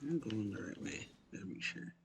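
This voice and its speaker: A man giving casual, low-key let's play commentary, unhurried and muttering as if to himself while he plays. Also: lively intonation, gravelly, slight background noise. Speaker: gaming streamer.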